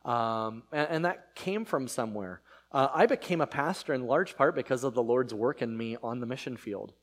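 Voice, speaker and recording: A man's voice: medium pitch, moderate pace. A man in his thirties, a pastor whose first language is Spanish, giving a casual, conversational sermon with many fillers and restarts.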